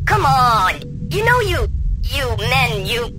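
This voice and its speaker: A woman playing a desperate, dim party-seeker from another spaceship in a comedic radio play, halting and wheedling. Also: fairly high pitch, sing-song, background noise. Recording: music behind the voice.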